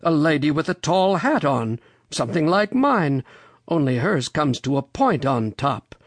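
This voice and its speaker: A reader voicing a genial, excitable old gentleman rabbit from a storybook, polite and given to exclaiming. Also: mid-range voice, unhurried, no echo. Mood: curious. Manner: polite, exclamatory, wondering aloud.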